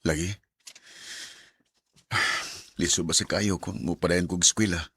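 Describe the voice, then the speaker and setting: A man playing a poor young student and soft-spoken suitor in a sentimental radio drama, with a Filipino Bisaya accent. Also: deep voice, fairly even intonation, slow pace, breathy edge, heavily acted. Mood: downcast, reassuring.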